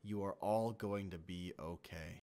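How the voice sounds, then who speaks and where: A man playing an inhuman host who poses as a trusted friend in a comic dub, speaking in a measured, reassuring way. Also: deep voice, steady tone, dark tone. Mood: calm, ominous.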